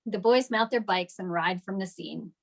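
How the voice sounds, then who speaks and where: A woman's voice: medium pitch, normal speed, vocal fry. A woman narrating scripted stage directions at a table read.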